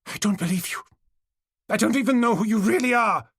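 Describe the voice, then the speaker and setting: A man's voice: lively intonation, moderate pace, a bit bright, no echo, studio quality. A man voicing a glamorous, hysterical mother. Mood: scornful. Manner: breathless, rising to shrill cries. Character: anxious glamorous middle-aged woman, hysterical mother.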